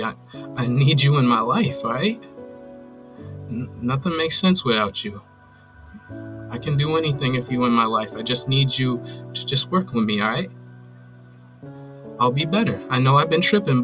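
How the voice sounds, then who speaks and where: An actor with an American accent playing a possessive, manipulative ex-boyfriend in an audio drama, coaxing and volatile. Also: male voice, a little high, lo-fi sound. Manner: volatile, coaxing with pet names, swearing. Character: possessive, manipulative abusive ex-boyfriend, pleading and menacing.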